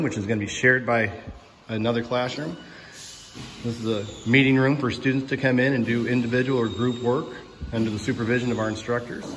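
A man giving an unscripted, matter-of-fact walking tour as a school superintendent, explaining things as he goes, recorded on a handheld phone indoors. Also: medium pitch. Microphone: handheld phone held by the speaker while filming. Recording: loud construction site noise. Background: loud building-site noise.

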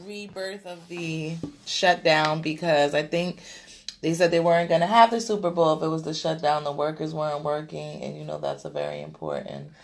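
A woman's voice: low voice, expressive, creaky, slight background noise. Chatty woman co-hosting radio. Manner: chatty, playful, quick asides. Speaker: radio co-host. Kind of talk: casual chat. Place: small radio studio. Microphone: filming on a phone at arm's length.

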